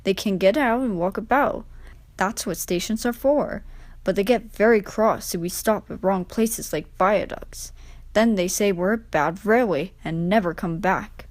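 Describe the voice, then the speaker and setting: A woman voicing a wise old male steam engine in a children's storybook, measured, earnest and gently reproving. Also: medium pitch, no echo, natural voice. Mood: earnest explaining.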